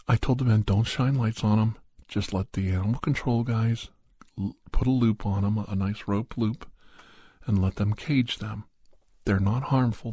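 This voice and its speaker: A man with a mellow, husky voice tells an eerie first-person story in ASMR style. He speaks softly in short, unhurried phrases with casual asides. The sound is very dry and close. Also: fairly low pitch, no room sound. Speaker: podcast host and storyteller.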